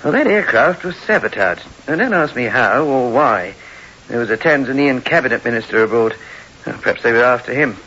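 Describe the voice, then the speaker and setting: A sharp, lively police inspector presses with suspicious, insinuating questions. It is radio-play acting on a dull, hissy vintage recording. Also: male voice, medium pitch, a little fast. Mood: probing, suspicious. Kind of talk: old-time radio drama acting.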